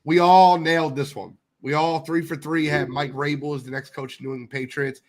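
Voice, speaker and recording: A man's voice: on the high side, little pitch movement, a little fast. A man co-hosting a casual sports podcast, blunt and teasing, who sounds congested from a sinus infection. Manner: blunt, swears, ribs the others. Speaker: podcast co-host.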